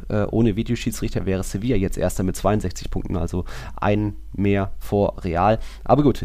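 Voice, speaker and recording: A man's voice: on the low side, warm tone. A man with a casual, conversational delivery, talking as a host on a football podcast.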